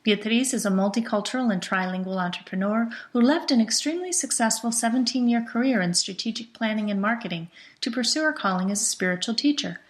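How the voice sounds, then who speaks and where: A woman's voice: a little high, quick pace, few pauses. A woman hosting an interview show, reading a polished introduction and then chatting with a guest, laughing often. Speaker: podcast host. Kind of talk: interview, warm scripted intro then chat.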